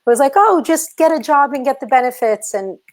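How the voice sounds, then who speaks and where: A woman's voice: sits high, animated, brisk. A woman with a Brooklyn accent hosting a casual interview, asking long, rambling, encouraging questions. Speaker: host.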